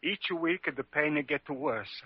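An actor playing a humble, devoted Italian street violinist in an old radio drama, with an Italian accent and halting, deferential broken English. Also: male voice, fairly high pitch, lively intonation, slightly rough, dry recording, heavily acted. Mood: sorrowful, pleading. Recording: dull vintage radio recording.

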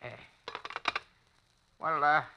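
An actor playing a gruff, greedy middle-aged janitor in an old radio drama, suspicious and wheedling as he bargains. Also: drawn-out words, muffled recording, noisy background. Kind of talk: 1940s radio acting.

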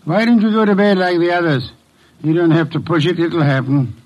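An actor playing an irritable, imperious older detective in an old-time radio drama, gruff and commanding. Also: male voice, a little high, brisk, a little mellow, dull audio, lightly acted. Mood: irritated.

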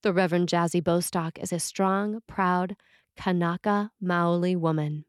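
Woman priest reading a sermon, steady and earnest, dry close recording. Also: on the low side, no echo. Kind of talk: read sermon.

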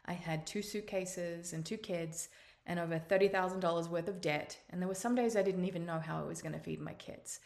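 A woman in her forties with an Australian accent, hosting a motivational podcast in an earnest, encouraging tone and drawing out her phrases. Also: fairly low pitch. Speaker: podcast host. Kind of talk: motivational monologue.

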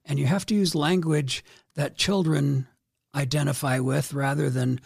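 A middle-aged man appearing as a podcast guest, speaking in a measured, modest way. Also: on the high side, fairly even intonation, dry recording, clean studio sound. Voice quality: gravelly, husky. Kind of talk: casual podcast chat.

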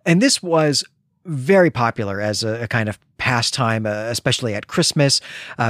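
Male podcast host, middle-aged, medium-pitched, conversational and explanatory, pausing mid-phrase, recorded dry. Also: no room sound. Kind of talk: conversational literary plot summary.

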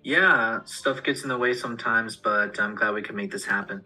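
Young man, college student guest, easygoing, hesitant, very bright tone, husky. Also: fairly low pitch, moderate pace, rough recording. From United States. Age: young adult. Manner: easygoing, hesitant, many fillers. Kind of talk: casual podcast chat. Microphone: remote call.

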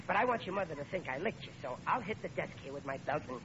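Blustering soft-hearted radio dad. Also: male voice, fairly high pitch, lively intonation, fast. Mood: sheepish, conspiratorial. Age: middle-aged. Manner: blustering, folksy, ungrammatical. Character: blustering, soft-hearted middle-aged working-class father and henpecked husband. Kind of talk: old-time radio comedy acting. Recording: muffled, noisy old radio recording.

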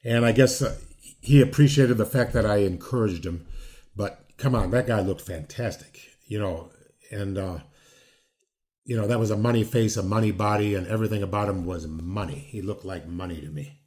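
A man's voice: on the low side, moderate pace, darkish tone. A man in his sixties chatting casually as a podcast host, rambling with many fillers and restarts. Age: 60s. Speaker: podcast host, former wrestler. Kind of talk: casual interview chat.

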